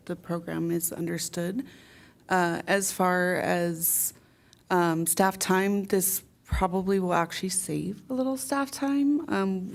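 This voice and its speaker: A woman from city staff gives a matter-of-fact explanation in a meeting room. Her voice is low-pitched with a gravelly fry. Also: a little mellow.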